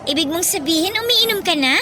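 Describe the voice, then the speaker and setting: A woman's voice: very high voice, lively intonation, fast, over music. A woman playing a shy, naive and polite young college student in a radio drama, speaking hesitantly.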